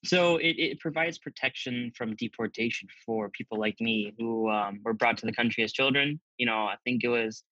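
A man's voice: mid-range voice, moderate pace, few pauses, sharp tone, no room sound, lo-fi sound. A young man from Zambia raised in the Midwest, talking easily in a casual interview with lots of "you know". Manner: easygoing, many fillers like "you know".

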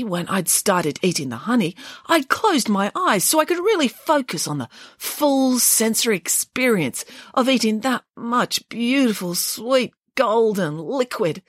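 A woman's voice: on the high side, dry recording, studio quality, voice pushed up. A woman voicing a huge, sensitive male bear who weeps and wails, in a storybook reading. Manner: tearful, drawn-out wailing. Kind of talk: storybook character voice.

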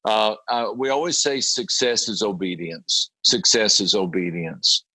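Man, pastor, raspy voice, halting speech, bright tone, dry sound. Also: fairly low pitch, animated, dry recording, poor audio. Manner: halting, repeats words and clears throat often. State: raspy voice after a late night. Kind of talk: conversational interview about a prayer group.

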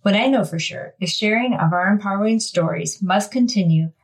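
A woman's voice: mid-range voice, brisk, breathy edge, no echo, low-quality recording. A woman hosting a podcast, reading an upbeat intro and interviewing a guest with enthusiasm. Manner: enthusiastic, warm praise of guest. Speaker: podcast host.

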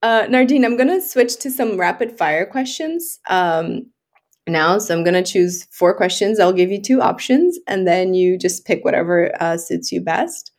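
A woman's voice: lowish voice, animated, moderate pace, a little mellow. Woman podcast host, chatty and effusive, casual interview style. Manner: effusive, often laughing.

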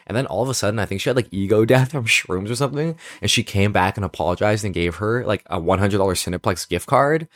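A man's voice: fairly low pitch, fast, hardly any pauses, a bit bright, no room sound. A young man co-hosting a podcast, telling stories casually in slangy, profane, exaggerated language. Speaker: podcast co-host. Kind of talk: casual chat, storytelling.

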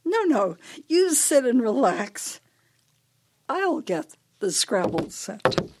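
An actress playing a fretful, grieving older wife in a radio play, plaintive and emotional. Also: female voice, a little high, big pitch swings, takes it slowly, a little mellow, slight background noise. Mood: anxious, tender. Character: fretful, grieving elderly wife, clinging to memories.

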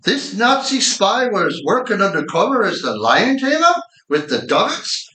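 A man's voice: sits high, very animated, measured pace, pushed and bright, heavily acted. An amateur actor playing a folksy patrolman in a community radio play, recorded in an echoey room. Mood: amazed. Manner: wondering, folksy. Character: folksy, amazed male beat patrolman.

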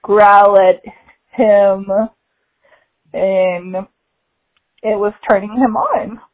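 A woman's voice: medium pitch, very slow, narrow, tinny audio. A woman answering interview questions casually, with shy little laughs. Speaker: guest.

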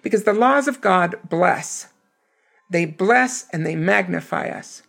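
A hoarse woman giving an earnest, emphatic scripture lesson. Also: lowish voice, lively intonation, takes it slowly, a bit bright. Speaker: scripture teacher.

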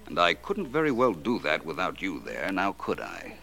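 An actor playing a shrewd, unflappable detective with polished, wry phrasing in 1940s radio drama style. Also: male voice, low-pitched, huge pitch swings, moderate pace, loud music behind the voice, exaggerated voice. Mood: smooth menace. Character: suave, shrewd, unflappable male private detective.

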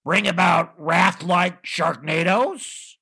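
A man voicing a grumpy, gloating monster villain for a children's story, raspy and strained, with theatrical taunts. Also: high-pitched, takes it slowly, bright, forceful tone, voice pushed up, full character voice. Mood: blustering threat.

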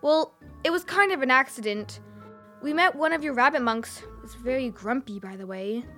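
Casting: young child adventurer, high voice, blunt yet hesitant, informal, kids' audio drama with faint music. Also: female voice, normal speed, bright, forceful tone. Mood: earnest, defensive.

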